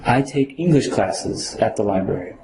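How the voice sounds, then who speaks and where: A man playing a citizenship applicant in a scripted mock interview, answering slowly and carefully. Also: lowish voice, lively intonation, noisy background. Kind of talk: scripted role-play. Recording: echoey room.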